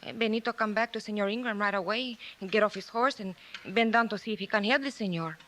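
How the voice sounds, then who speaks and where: A woman's voice: medium pitch, quick pace, lightly acted. Woman playing a fiery Mexican señorita. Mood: earnest. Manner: defiant, broken English, shouting when angry. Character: fiery young Mexican woman guarding her brother. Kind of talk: 1950s radio drama acting. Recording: old radio broadcast recording.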